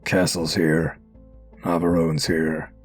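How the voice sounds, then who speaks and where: A man's voice: deep voice, slow, breathy edge, faint music behind, full character voice. A man playing a grim, brooding masked vigilante, giving a terse inner monologue in a comic dub. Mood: grim watchfulness. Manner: terse, monotone.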